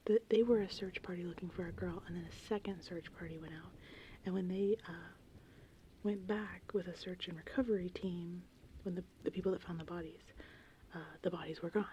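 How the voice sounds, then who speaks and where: A woman in her thirties whispering softly and breathily in an informal, rambling ASMR style. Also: fairly low pitch, brisk, a little hiss or hum. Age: 30s. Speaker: ASMR channel host. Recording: steady rain and thunderstorm ambience behind. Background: gentle rain and thunder.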